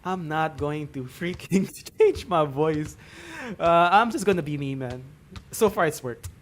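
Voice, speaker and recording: A man in his thirties with a Filipino accent, talking through a game tutorial and chatting with viewers on a live stream, in a relaxed, chatty way with many fillers. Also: fairly high pitch, measured pace, slight background noise. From Philippines. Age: 30s. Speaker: gaming streamer.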